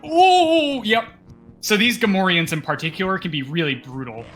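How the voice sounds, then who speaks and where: A man commentating live on a game speedrun in a bright, forward voice pitched a little high. He explains things casually as he goes. Faint music plays behind him. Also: expressive, normal speed.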